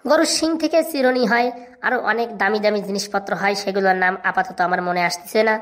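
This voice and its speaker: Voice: mid-range voice, animated, quick pace, fairly bright tone, lightly acted. A performer voicing a cocky, touchy overgrown schoolboy in a comic cartoon, boastful, with a rural Bengali dialect. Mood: proud reciting. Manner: boastful, rattling off answers.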